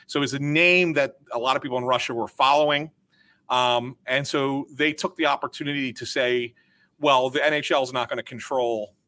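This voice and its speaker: Bright-voiced man explaining hockey news. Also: mid-range voice. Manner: measured, cautious explaining with fillers. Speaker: hockey reporter. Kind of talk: casual sports talk.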